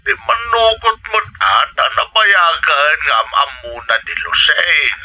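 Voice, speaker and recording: A Filipino man playing an aging, gently stubborn father in a radio drama, heard through a telephone filter. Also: a little high, big pitch swings, measured pace, creaky, exaggerated voice. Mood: pleading, insistent. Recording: thin, harsh telephone line.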